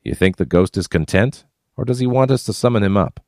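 A wry, easygoing prospector man with a low, unhurried voice, as the narrator puts him on. He muses half-jokingly, recorded close and dry. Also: lively intonation, darkish tone, dry recording. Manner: wry, musing.

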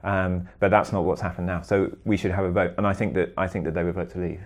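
A man's voice: low-pitched, little pitch movement, quick pace. A man from Britain discussing viewer questions in a conversation, hesitant, with many ums, building his points step by step.

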